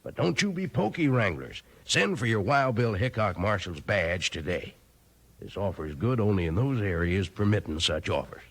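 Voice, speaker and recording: A man with an American accent delivering a folksy, emphatic 1950s Western-style radio advertisement in a husky voice. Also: fairly low pitch, big pitch swings, normal speed, slight background noise. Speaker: radio commercial announcer.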